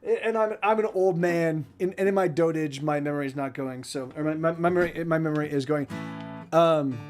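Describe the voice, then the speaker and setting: High-voiced rambling man in his forties. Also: brisk, a little mellow, faint music behind. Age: 40s. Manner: rambling, repeats words and restarts often. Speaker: musician streamer. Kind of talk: casual chat with viewers between songs.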